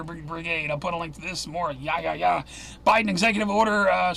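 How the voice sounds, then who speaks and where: A man hosting a solo news rundown, his high, bright voice turning gravelly and strained as it wears out. He reels off headline after headline and throws in sarcastic asides between them. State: losing his voice. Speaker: news commentary host.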